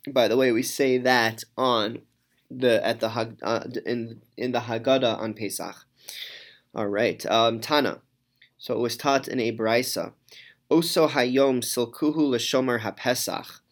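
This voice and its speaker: A man teaching a Talmud class, reading each Aramaic phrase and then translating it, with frequent pauses and ums. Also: mid-range voice, steady tone.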